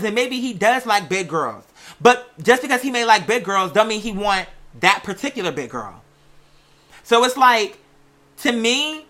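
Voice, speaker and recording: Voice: medium pitch, pushed and bright. A sassy, blunt commentator chatting casually in slangy speech and giving opinionated takes on gossip.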